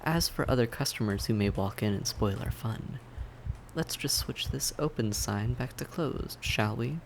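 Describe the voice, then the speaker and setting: Man acting as a polite vampire, gravelly fry, formal, patient, softly teasing, quietly menacing, audio drama, faint noise. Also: medium pitch, lively intonation. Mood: smug, menacing. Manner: courteous, measured, softly teasing, formal phrasing. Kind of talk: scripted audio roleplay acting, addressed to the listener.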